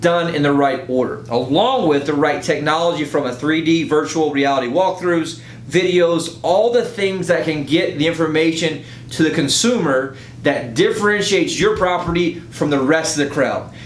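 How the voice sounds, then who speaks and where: A man working as a real estate agent makes an informal, emphatic and persuasive sales pitch, often checking in with a rhetorical "right?". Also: normal speed, few pauses. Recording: roomy echo, faint low rumble.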